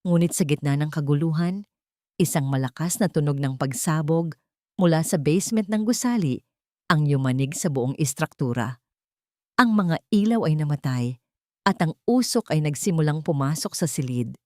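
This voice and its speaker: A woman narrating a dramatic story in a steady, dramatic manner. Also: low-pitched, normal speed, no echo, clean studio sound.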